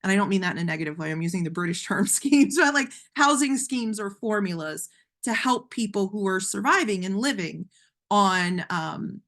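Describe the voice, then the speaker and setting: A woman presenting a webinar in a conversational, explanatory way, her voice a little hoarse, with frequent ums and restarts. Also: medium pitch, lively intonation, moderate pace, a bit bright. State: slightly hoarse, losing voice.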